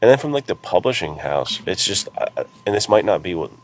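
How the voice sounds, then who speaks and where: A man's voice: deep voice, big pitch swings, a little fast. A man co-hosting a podcast, chatting casually and earnestly in informal, enthusiastic language, with faint ambient noise behind him. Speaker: podcast co-host, author and publisher.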